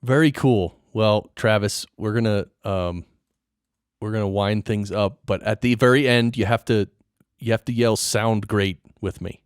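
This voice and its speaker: A man's voice: fairly low pitch, a little slow, clear, resonant tone, no echo, clean studio sound. A man hosting a podcast in casual, upbeat interview chat. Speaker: podcast host.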